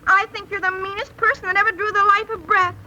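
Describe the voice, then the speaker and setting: A woman's voice: very high-pitched, fairly even intonation, fast talker, bright, forceful tone, muffled recording, exaggerated voice. An actress playing a huffy, melodramatic teenage girl in a 1930s radio comedy. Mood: indignant. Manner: huffy, theatrical. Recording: hissy 1930s radio recording.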